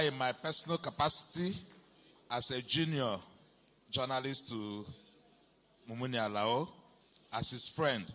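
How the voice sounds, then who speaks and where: Bright-voiced Nigerian man giving a speech. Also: mid-range voice, slight background noise. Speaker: guest speaker, journalist. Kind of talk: informal public speech.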